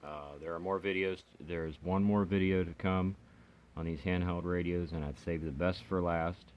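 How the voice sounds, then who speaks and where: A man reviewing gear as a hobbyist in a casual, unscripted, halting and rambling way, with pauses as he explains. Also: low-pitched, fairly even intonation, a little mellow, slight background noise.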